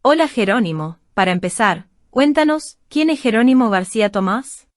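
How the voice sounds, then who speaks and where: A woman hosting a radio show, reading a guest introduction and asking interview questions in a measured way. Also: fairly high pitch, expressive, dry recording, studio quality.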